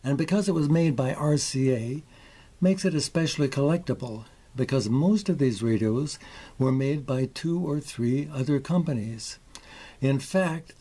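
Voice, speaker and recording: A man presenting a show-and-tell video in a folksy, deliberate way, with short careful phrases, theatrical exclamations and wry humour. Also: mid-range voice, mellow. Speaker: presenter, vintage radio collector.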